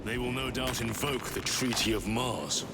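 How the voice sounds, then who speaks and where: A man's voice: medium pitch, normal speed, loud music behind the voice, lightly acted. A man playing a wry, disapproving soldier in a sci-fi video game, with dry, formal delivery. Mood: dry disapproval. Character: wry, disapproving male space-soldier battle-brother. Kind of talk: video game cutscene acting.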